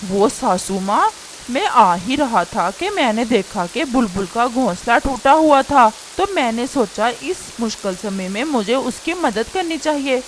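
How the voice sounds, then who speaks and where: A woman plays a hapless male cartoon crow with a fairly high voice. He is lazy and henpecked, whiny and always eager to please. There is faint noise behind the voice. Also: expressive, measured pace, poor audio. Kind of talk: Hindi cartoon character acting.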